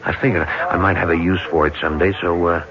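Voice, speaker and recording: A man's voice: deep voice, fairly even intonation, fast. A man acting a cold, persuasive war veteran plotting revenge in an old-time radio drama, insistent and hard-edged. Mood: coldly determined. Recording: muffled vintage radio recording, music behind.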